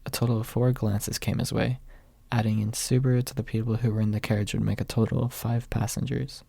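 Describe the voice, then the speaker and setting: Hushed, creaky-voiced young man reading aloud. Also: on the low side, level tone, brisk, no echo. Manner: soft-spoken, hushed late at night. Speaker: amateur audiobook reader. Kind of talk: ASMR, quiet storybook narration. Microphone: close USB microphone without pop filter.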